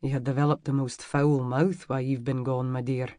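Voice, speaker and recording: A narrator voicing a charming, amused Scottish man with a deep, rumbling brogue, speaking softly and teasingly in an audiobook. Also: flat delivery, no room sound, studio quality, lightly acted. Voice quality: deep, low rumbling murmur. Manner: soft, teasing and unhurried. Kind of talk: audiobook character voice.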